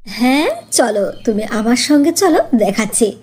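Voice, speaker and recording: A woman voicing a gentle, patient young mother in a cartoon, soothing as she explains. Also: on the high side, expressive, moderate pace, breathy edge. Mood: patient, coaxing. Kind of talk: cartoon voice acting.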